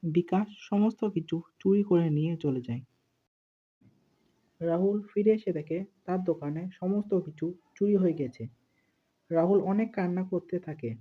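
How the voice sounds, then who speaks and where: A man's voice: sits high, normal speed. A man narrating a cartoon story from a script as the storyteller. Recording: narrow, phone-like muffled sound.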